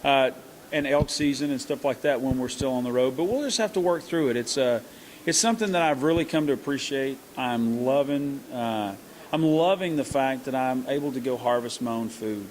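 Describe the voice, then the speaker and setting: A middle-aged man, a country singer who hosts a hunting show, answering interview questions in an earnest, halting way with many restarts. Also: mid-range voice, slight background noise.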